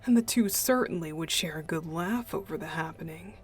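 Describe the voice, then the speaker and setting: A woman voicing a playful, mischievous young male deacon in an unhurried, teasing ASMR roleplay style. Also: low voice. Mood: sly, musing. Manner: teasing, unhurried, chuckling, breathy asides.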